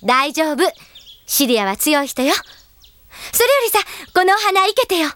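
An actress playing a caring, brisk young woman who is a loyal teammate, coaxing and animated in a Japanese anime audio drama. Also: high voice. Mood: concerned, brisk.

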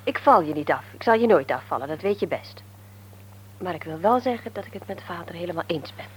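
An actress playing a loyal, obliging young woman in a radio drama, brisk, polite and attentive. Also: lowish voice, animated, lightly acted, rough recording. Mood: concerned. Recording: old radio recording with faint noise.

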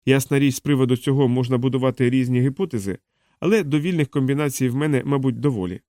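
A man's voice: mid-range voice, fast, mellow, no room sound, natural voice. Cautious male cyberneticist for audiobook, read by a man, questioning, doubtful, dry close sound. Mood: resigned, doubtful.

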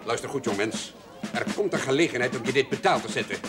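An actor playing a proud, grudging notary in a television period drama, curt, commanding and threatening. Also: male voice, mid-range voice, animated, quick pace, close to an everyday voice. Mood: cold threat. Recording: 1970s TV soundtrack with loud music.

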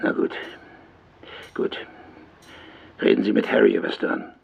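Gravelly, brusque male police sergeant. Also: rumbling low, huge pitch swings, a little slow, lo-fi sound, full character voice. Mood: grudging. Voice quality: husky, gravelly. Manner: brusque, scolding. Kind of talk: radio drama acting.

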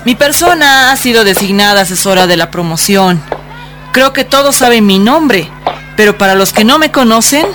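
A woman playing a formal, admonishing schoolteacher in her thirties in a radio drama, speaking in measured phrases. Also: fairly high pitch, expressive, close to an everyday voice. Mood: formal, earnest. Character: earnest 35-year-old schoolteacher addressing an unruly class. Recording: music and classroom chatter behind.

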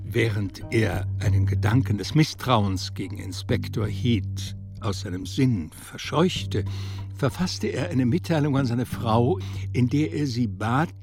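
A man reading literary narration in a German radio drama, in an expressive storytelling style. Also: medium pitch, unhurried, soft background music.

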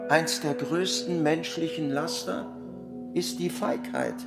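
An actor playing a solemn storyteller narrating a literary tale in a radio play. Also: male voice, on the high side, steady tone, slow, vocal fry. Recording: loud plucked guitar music underneath.

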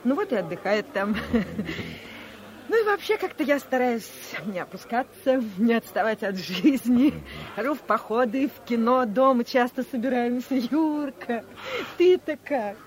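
A woman's voice: a little high, animated, a little slow. Fussy middle-aged office woman, chatty, eager, meddling, 1970s radio drama. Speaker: office worker. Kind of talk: 1970s Soviet radio play acting.

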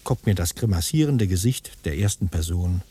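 An actor playing an observant man who reports in deliberate detail, in an avant-garde radio play monologue. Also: low-pitched, expressive. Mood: intent observation. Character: observant male narrator reporting his walk past colonnades.

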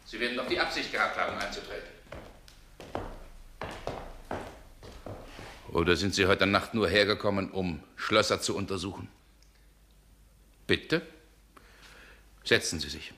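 An actor playing a brooding, suspicious gentleman in a 1960s German radio drama, sardonic and agitated in manner. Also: lowish voice, moderate pace, long pauses, slight background noise. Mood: sardonic, imperious. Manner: agitated, sardonic, muttering to himself. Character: brooding, suspicious gentleman confronting a nocturnal visitor.

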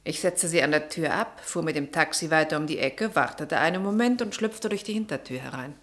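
A low-voiced, knowing confidante. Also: female voice, a little fast, fairly bright tone. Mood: knowing, assured. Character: brisk, knowing, bossy female confidante. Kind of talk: German radio play acting.

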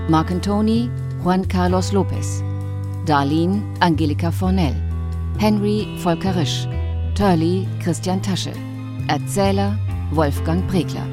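A woman with a lowish voice announces radio play credits. It is a scripted reading, with guitar music playing clearly beneath her. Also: measured pace.

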